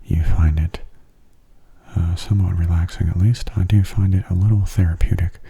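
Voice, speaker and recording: Male ASMR gamer, very low and gravelly, soft-spoken, gentle, breathy, unhurried delivery. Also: fairly even intonation. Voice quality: soft, breathy, often half-whispered. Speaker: gamer giving gameplay commentary.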